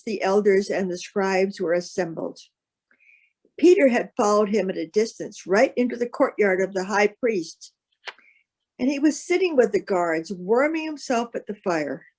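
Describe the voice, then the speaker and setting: A woman reading scripture as narrator, in a measured, formal way. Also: mid-range voice, a little mellow.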